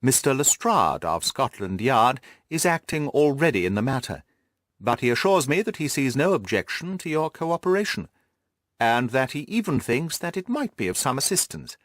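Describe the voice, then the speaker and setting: A man's voice: mid-range voice, big pitch swings, normal speed, fairly bright tone, no room sound, clean studio sound. A man voicing a formal, courteous, aggrieved nobleman's letter in an audiobook, in a stiff manner. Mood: formal, concerned. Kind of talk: letter read aloud in an audiobook.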